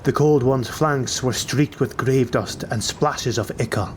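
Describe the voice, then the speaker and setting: A man's voice: medium pitch, unhurried, a little hiss or hum. A man narrating a fantasy audiobook in a dramatic, scripted storytelling style.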